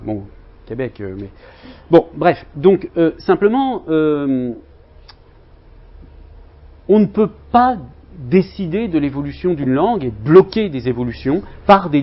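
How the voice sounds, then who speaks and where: A male lecturer leads a seminar discussion, stopping and restarting often and filling gaps with hums. His voice sits at an ordinary middle pitch. The sound is slightly dull and lo-fi, as if from a modest classroom recording. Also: a little hiss or hum. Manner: hesitant, many fillers and restarts. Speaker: university lecturer in linguistics. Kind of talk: seminar talk with students. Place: university lecture room.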